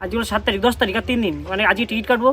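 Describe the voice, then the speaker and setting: A man's voice: high voice, fairly even intonation, racing, pushed and bright. A young man chatting casually and teasingly on a call, insistently repeating his questions. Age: young adult. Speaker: prank video creator. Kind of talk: casual teasing phone chat.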